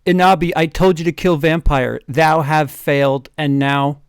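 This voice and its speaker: A man voicing a menacing, pompous dark lord from comic fan fiction, declaiming loudly in mock-archaic style. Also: a little high, fairly even intonation, moderate pace, smooth, dry recording, clean studio sound, natural voice.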